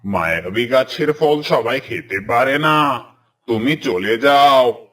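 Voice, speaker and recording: A man voicing a wise male crow in a cartoon, cawing and speaking slowly and firmly. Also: medium pitch, expressive, fairly bright tone. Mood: stern. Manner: cawing, slow, commanding. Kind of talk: cartoon voice acting.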